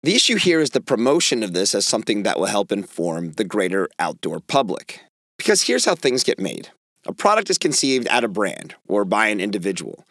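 A middle-aged man with a raspy voice hoarse from a cold, hosting an opinionated outdoor podcast with emphatic, wry delivery. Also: fairly low pitch, fairly quick, no echo, studio quality. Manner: emphatic and wry, lively rises on key words. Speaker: podcast host.

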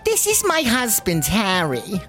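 A chatty woman rabbi who hosts and matchmakes in a comedy audio drama. Her voice leaps up and down dramatically and takes its time, gushing and coy, over soft music. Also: mid-range voice, wildly swooping pitch, a little slow. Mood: matchmaking, effusive. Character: effusive matchmaking woman rabbi, chatty, secretly scheming host.

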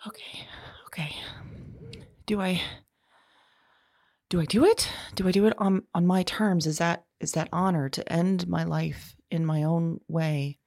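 A woman playing a frightened, anguished woman awaiting arrest in an audio drama, speaking haltingly and often dropping into breathy whispers. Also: fairly low pitch, fairly even intonation, darkish tone, no room sound. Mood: fearful agonizing.

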